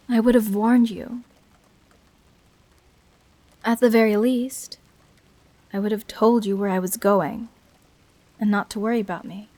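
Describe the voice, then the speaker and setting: A woman voices a fallen heroine turned villain in an intimate audio drama. Her voice sits fairly high and moves very slowly, with weighty pauses between phrases. She is embittered and fiercely protective, speaking as one making an emotional confession. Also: a little mellow. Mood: subdued, regretful. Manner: deliberate, dramatic, pausing between short phrases.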